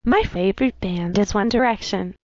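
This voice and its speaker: A woman's voice: mid-range voice, normal speed, darkish tone, no echo, muffled recording, close to an everyday voice. A text-to-speech woman's voice playing a woman in her fifties, presenting herself in a cartoon diary with plain, announcing delivery. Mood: matter-of-fact. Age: 50s. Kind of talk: animated video-diary narration.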